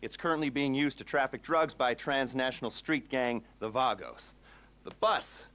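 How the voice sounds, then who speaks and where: A man's voice: mid-range voice, normal speed, fairly bright tone, close to an everyday voice. A man performs a nerdy male heist planner in a video game mission briefing. He is wordy and sardonic, wandering into stammered asides as he lays out the job. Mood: dry, businesslike. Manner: wordy, digressive, stammering asides with dry sarcasm. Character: nerdy, rambling criminal heist planner briefing his crew.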